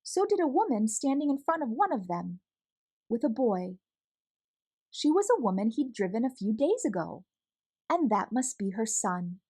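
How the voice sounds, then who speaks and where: A woman reading a children's picture book aloud in an expressive, animated storytelling manner. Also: on the high side, normal speed, slightly rough.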